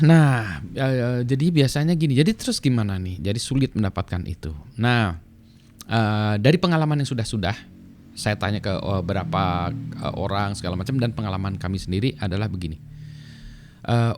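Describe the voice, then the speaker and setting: Relaxed middle-aged man, low voice. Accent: Indonesian. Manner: relaxed, many fillers and tag "ya". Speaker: vlogger sharing work experience. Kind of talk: casual explanatory talk.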